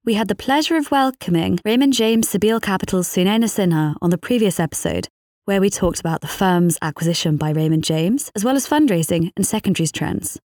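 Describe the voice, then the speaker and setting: A woman's voice: medium pitch, lively intonation, a little fast, a little mellow, no room sound, studio quality. A woman hosting an interview podcast, speaking in a polite, formal and articulate way as a reporter. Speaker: podcast host, financial reporter. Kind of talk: scripted podcast introduction and interview questions.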